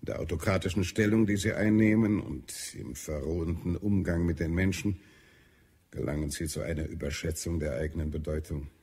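Deep, gravelly, edgy health adviser. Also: male voice, fairly quick, a little mellow. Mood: irritable, weary. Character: irritable, weary male Martian health adviser, grimly concerned. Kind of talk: German audio drama acting.

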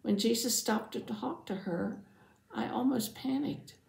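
Woman as devout father, slow and gravelly. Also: a little high, darkish tone, pitched above the performer's own voice. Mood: tense, hopeful. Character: devout anxious father, synagogue leader, telling his testimony. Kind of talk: first-person Bible storytelling for families. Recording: roomy, echoing sound.

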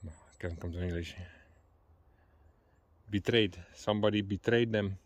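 A man's voice: lowish voice, steady tone, unhurried, no room sound. Playful Dutch-speaking man outdoors. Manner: playful, teasing, searches for English words. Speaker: vlogger walking in a forest. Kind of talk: casual chat. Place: outdoors in a forest.

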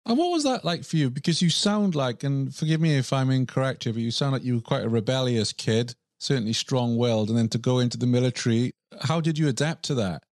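A man's voice: mid-range voice, quick pace, few pauses, a little mellow, no echo, studio quality. A man hosting a podcast, asking his guest questions politely and with curiosity. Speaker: podcast host. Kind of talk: podcast interview.

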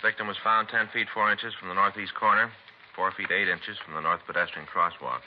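An actor playing a practical, plain-spoken police detective in a 1950s radio drama, with clipped delivery. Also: male voice, low-pitched, steady tone, fairly quick, lightly acted. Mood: matter-of-fact. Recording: dull 1950s radio recording, faint noise.

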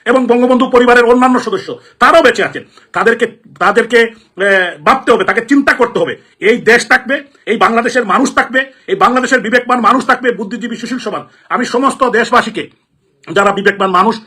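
High-pitched, indignant Bangladeshi man. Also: sharp tone. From Sylhet, Bangladesh. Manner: emphatic, repetitive, indignant. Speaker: politician, elected representative. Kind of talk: impassioned political address.

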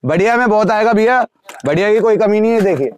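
A young man in a crowded cosmetics shop, rattling off wholesale prices per dozen and per piece in a rapid sales patter. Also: high voice, expressive, clear, resonant tone. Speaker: wholesale shopkeeper. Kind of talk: sales pitch to camera.

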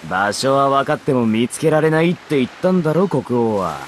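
An actor voicing a cocky young outlaw in a Japanese game, with a rough, sardonic, swaggering way of talking. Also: male voice, medium pitch, lively intonation, a little fast, slight background noise, close to an everyday voice. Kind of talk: Japanese anime game voice acting.

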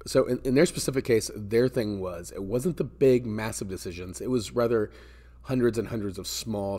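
Male, American, 40s, slightly low voice; conversational coach delivering casual advice with emphatic repetition. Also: a little mellow. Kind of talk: casual advice talk to camera. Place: indoor office space with metal ceiling and glass garage door.

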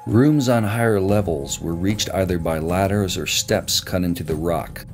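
A man reading scripted travel documentary narration in a measured, even way, recorded as a studio voice-over. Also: sits low. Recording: narration over loud music.

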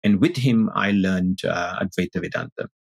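Gravelly low-voiced man on a video call. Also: dry recording. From Singapore. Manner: thoughtful, halting with many restarts. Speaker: guest, Advaita Vedanta teacher. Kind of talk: casual interview talk. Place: indoor room on video call.

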